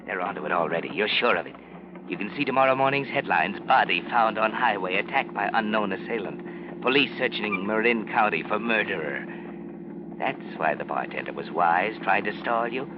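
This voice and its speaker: A man acting as the menacing narrator of a 1940s radio suspense play, speaking like a guilty conscience in a measured, insinuating manner. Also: lowish voice, animated, noisy background, close to an everyday voice. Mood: taunting, sinister. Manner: measured, insinuating, addressing the character as you. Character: ominous taunting male narrator, a guilty man's inner voice. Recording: muffled 1940s radio recording, music behind.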